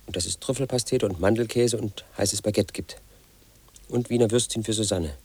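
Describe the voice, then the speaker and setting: A brooding, quietly resentful man in his thirties recounts his story for a radio play. His voice sits low and husky. He talks in a flat, insistent way, as if nothing could be his fault. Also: moderate pace. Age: 30s. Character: obsessive, aggrieved man brooding over his ex. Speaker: narrator of his own story. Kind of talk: 1980s radio play monologue.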